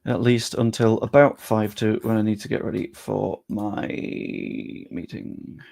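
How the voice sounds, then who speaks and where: A man's voice: lowish voice, very animated, takes it slowly, gravelly, a little mellow, dry recording, studio quality. A young man live-streaming, chatting casually with his viewers and thinking aloud as he works on screen.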